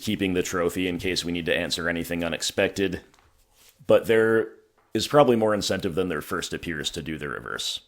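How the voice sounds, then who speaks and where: Hoarse man with a low voice, wry gameplay commentary, talks through his decisions. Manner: thinking aloud, wry, self-mocking. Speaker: gaming channel host.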